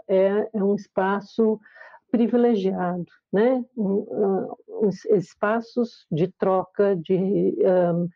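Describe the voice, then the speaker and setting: A woman's voice: mid-range voice, dry recording, highs cut off. A woman professor with a Brazilian accent, answering on a discussion panel, often hesitating and restarting. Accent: Brazilian Portuguese. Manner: hesitant, many fillers and restarts. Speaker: professor, research group coordinator.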